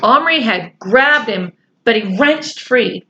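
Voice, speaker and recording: Slow, bright woman reading a storybook. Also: a little high, lively intonation, lo-fi sound, a little hiss or hum. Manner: chatty asides to listeners. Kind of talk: reading a children's book aloud.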